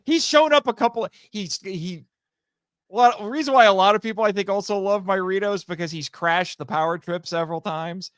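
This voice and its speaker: A man with a slightly higher voice chats as a podcast guest. He is talkative and animated, and the recording is very dry. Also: a little fast, clean tone, dry recording. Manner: animated, long-winded, laughs often. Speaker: podcast guest, audio drama writer and voice actor. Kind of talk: casual interview chat.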